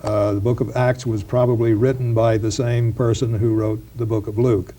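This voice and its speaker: A man with a mellow, soft-edged voice lays out history in an unhurried lecture style. He stops and starts often, with plenty of fillers and repeated words. It sounds like a 1990s broadcast interview. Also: mid-range voice, little pitch movement. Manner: halting, with many fillers and restarts. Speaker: professor being interviewed. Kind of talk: explanatory interview talk.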